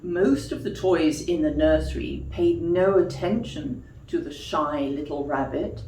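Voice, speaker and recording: A woman storyteller reading expressively to young children like a teacher, pausing for questions and explanations. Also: sits low, animated, mellow, slight background noise. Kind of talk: children's storytime read-aloud with explanatory asides. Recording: echoey room.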